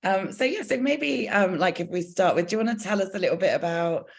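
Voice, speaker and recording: A woman hosting a video-call session, hesitant and halting. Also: mid-range voice, expressive, creaky. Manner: hesitant, many fillers and restarts. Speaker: host.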